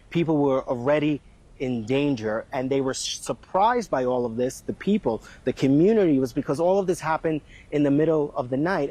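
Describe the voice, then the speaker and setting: A man's voice: a little high, a little mellow. A man reporting live as a news correspondent, speaking off the cuff and repeating phrases for emphasis.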